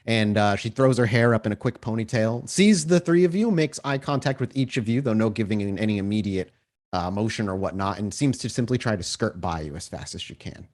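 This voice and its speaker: A man's voice: fairly low pitch, animated, brisk, a little mellow. A man working as game master, improvising descriptive scene narration in a conversational way for a tabletop game.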